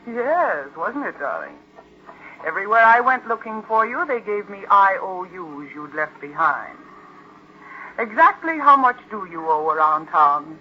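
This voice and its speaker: An actress playing a sardonic, scheming Broadway star in a 1940s radio drama, arch and mocking with theatrical endearments. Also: female voice, mid-range voice, big pitch swings, measured pace, a little hiss or hum. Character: sardonic, scheming, glamorous young stage actress sister. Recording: muffled vintage radio drama recording.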